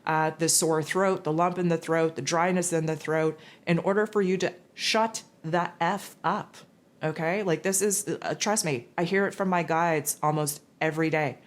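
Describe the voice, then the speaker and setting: A raspy, hoarse woman hosting a spiritual forecast, talking in a loose, rambling casual monologue. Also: low-pitched, fairly even intonation, normal speed. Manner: rambling, loose, with many fillers and restarts. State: hoarse from losing her voice.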